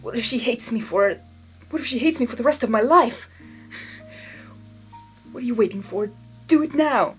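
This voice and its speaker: A woman's voice: a little high, expressive, moderate pace, pauses often, slightly rough. A flustered male creature of chaos acted out by a woman blusters and stammers in a raised, overemphatic voice. He is an awkward storybook suitor, with music underneath. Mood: panicked self-doubt.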